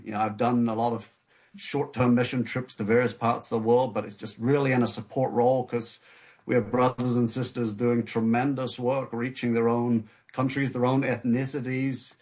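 Soft-spoken man with many restarts. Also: mid-range voice. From United Kingdom. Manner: hesitant, many restarts and fillers. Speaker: guest author. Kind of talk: interview conversation.

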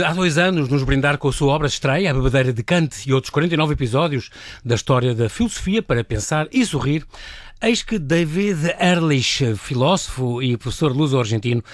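A male host leads an interview in a lively, quick way. His pitch moves a lot and sits a little high. The sound is dry and close. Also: dry recording. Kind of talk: casual interview chat.

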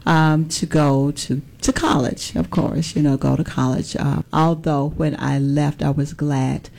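A woman in her sixties speaks with a Southern US accent as an interview guest. Her voice is notably low and very mellow. She talks conversationally, in measured phrases that sometimes hesitate and repeat. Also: steady tone, creaky. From Birmingham, Alabama. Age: 60s. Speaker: political candidate, interview guest.

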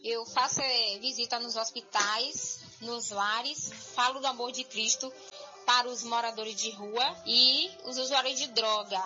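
A woman gives a personal testimony. Her voice is fairly high and very sharp, over faint music. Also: fairly even intonation, measured pace, highs cut off. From Brazil.

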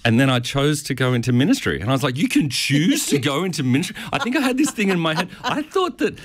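A man's voice: a little high, sing-song, fast talker. A middle-aged Australian man with a stutter, answering interview questions in an informal, self-deprecating, storytelling way. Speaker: pastor.

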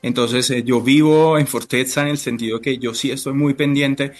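A man speaking Spanish, giving halting, deliberate conversational answers as a chef being interviewed. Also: medium pitch, fairly even intonation. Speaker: interview guest, restaurant chef.

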